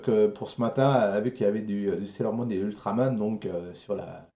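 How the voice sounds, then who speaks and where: A man's voice: lowish voice, warm tone, lo-fi sound. Man hosting a casual show, echoey room. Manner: informal and joking, with loose, halting phrasing. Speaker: host of an online anime and geek talk show. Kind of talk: casual live-stream chat. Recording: roomy, echoing sound.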